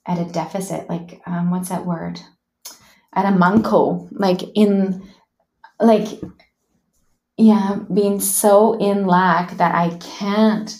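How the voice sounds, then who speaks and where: Canadian-raised woman, coach guest, candid and musing, medium pitch. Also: creaky, a little mellow. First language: English. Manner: frank, thoughtful, many "like" and "you know" fillers. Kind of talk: reflective personal storytelling.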